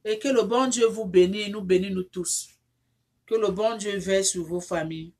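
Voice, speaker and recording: A woman's voice: medium pitch, normal speed. A woman who is not a native French speaker, leading an informal prayer and appeal for her viewers, repetitive and fervent, with many restarts, who rises into insistent pleading as she prays. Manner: rises into loud insistent pleading when praying. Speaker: online counselor leading prayer.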